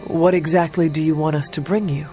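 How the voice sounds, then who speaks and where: A woman's voice: deep voice, fairly even intonation, brisk, dark tone, soft background music, natural voice. A woman as formal, direct warrior. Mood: questioning. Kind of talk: video game cutscene acting.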